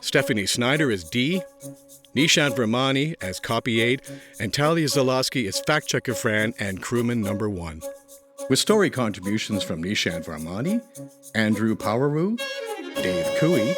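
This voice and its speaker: A man's voice: mid-range voice, very animated, moderate pace. Man reading credits over music. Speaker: credits announcer. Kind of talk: scripted narration of end credits.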